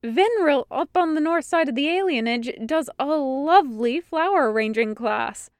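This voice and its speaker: A woman's voice: sits high, lively intonation, unhurried, no echo, clean studio sound, pitched above the performer's own voice. A woman voicing an innocent, anxious young woman in a story, flustered, hesitant and eager to please. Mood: hopeful. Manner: flustered, hesitant, literal-minded.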